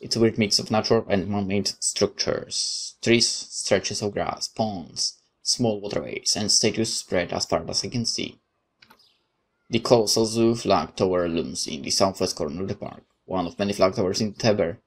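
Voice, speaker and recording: A man's voice: on the low side, normal speed. A man reading a visual novel aloud as narration, dropping in casual asides of his own.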